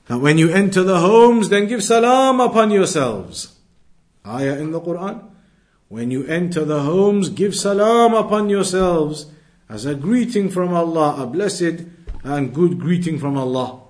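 Higher-voiced man teaching a religious lesson. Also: animated. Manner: deliberate, repetitive explaining. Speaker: Islamic teacher. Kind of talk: religious lesson, reading Arabic then explaining.

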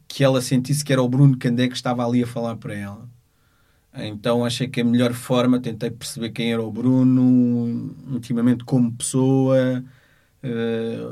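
A man with a European Portuguese accent speaking unscripted as a lawyer, in a measured, halting way as he searches for words. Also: medium pitch, mellow. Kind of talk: unscripted spoken account of a court case.

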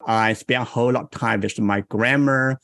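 A man in his forties from South China with a Chinese accent, a guest in an interview who tells stories in a slow, reflective way. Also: medium pitch, fairly even intonation, creaky, fairly bright tone, no room sound, studio quality. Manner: slow, thoughtful, reflective storytelling. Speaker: guest, improv coach. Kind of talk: interview conversation.

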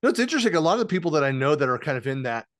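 A man's voice: on the high side, big pitch swings, rapid-fire, fairly bright tone, dry recording, studio quality. A man hosting an interview podcast, talking casually and rambling a little. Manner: rambling, many fillers and restarts. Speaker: podcast host.

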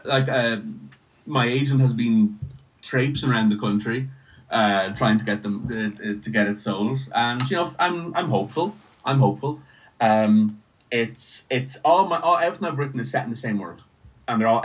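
A man's voice: mid-range voice, normal speed, muffled recording. An Irish man talking casually as an interview guest, hesitant, often repeating words and restarting his phrases. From Ireland. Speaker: writer and podcast creator, interview guest.

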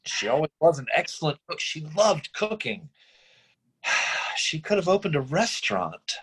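A man acting a middle-aged, free-spirited father in a staged screenplay reading, earnest, effusive and persuasive, with halting phrases. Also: a little high, big pitch swings, fairly bright tone, breathy edge, full character voice. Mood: wistful.

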